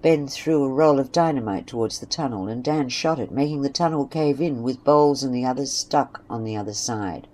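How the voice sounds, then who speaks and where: A woman's voice: rumbling low, brisk, few pauses, dark tone. A woman narrating a scripted western story. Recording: faint background noise and ambience.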